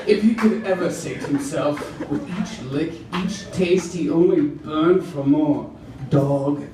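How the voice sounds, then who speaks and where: A man performs a dramatic reading at a slow, drawn-out pace. His voice sits fairly high for a male reader and is heard from a distance in a very echoey room. The delivery is theatrical. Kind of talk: mock-dramatic reading of erotic romance fiction. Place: roomy venue with a live audience. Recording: echoey room from far off, audience noise.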